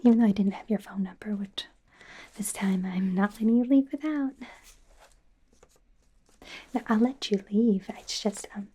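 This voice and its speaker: A woman's voice: mid-range voice, fairly even intonation, moderate pace, long pauses, lightly acted. A woman playing a nervous, friendly female werewolf doctor in a whispered ASMR roleplay, hesitant, stammering and apologetic. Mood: nervous, flustered. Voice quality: soft, breathy whisper.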